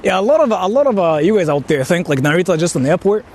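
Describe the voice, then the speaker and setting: A Japanese-accented man with a fairly high voice talks to his livestream viewers. His delivery is animated and halting, often repeating phrases as he explains himself. Faint city and traffic noise surrounds him outdoors. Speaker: live-streamer. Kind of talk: casual livestream chat to viewers.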